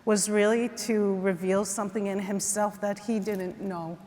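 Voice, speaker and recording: Woman, halting speech, reverberant hall. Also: mid-range voice, steady tone, darkish tone. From Israel. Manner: halting, many fillers and restarts. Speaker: guest, parent of a hostage. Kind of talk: onstage conversation. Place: large event hall. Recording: reverberant hall with faint noise.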